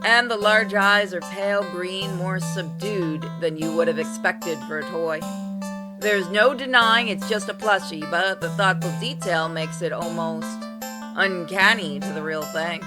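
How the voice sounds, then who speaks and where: Woman narrating over music, lowish voice. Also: a little slow. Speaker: gamer reading a visual novel aloud on a playthrough. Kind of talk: expressive read-aloud narration of game text. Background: music with plucked guitar.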